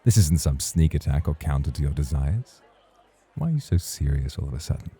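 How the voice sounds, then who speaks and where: A man's voice: extremely low pitch, very animated, fairly quick, warm tone, husky, heavily acted. A man acting in an ASMR roleplay as a suave, teasing club host, formal and flirtatious in his way of talking. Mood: smug, persuasive.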